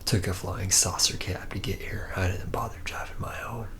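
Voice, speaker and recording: A man's voice: deep voice, fairly even intonation, moderate pace, a bit bright. A man playing a charismatic, hard-drinking senior robot mechanic in an ASMR roleplay, rambling in a loose, laid-back way. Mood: boozy, laid-back.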